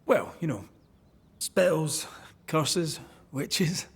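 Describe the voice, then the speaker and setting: An actor playing a wry, reluctant ghost hunter in a video game, with a husky, gravelly voice and dry, sardonic manner. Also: male voice, fairly high pitch, lively intonation, very slow, heavily acted. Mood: wry, curious. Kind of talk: dramatic video game acting.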